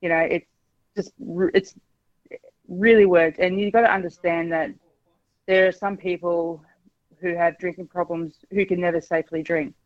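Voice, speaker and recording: A woman's voice: on the low side, normal speed, long pauses, no echo, highs cut off. A woman, calling a radio show and speaking frankly, with many hesitations. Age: 40s. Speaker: caller. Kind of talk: candid phone-in chat.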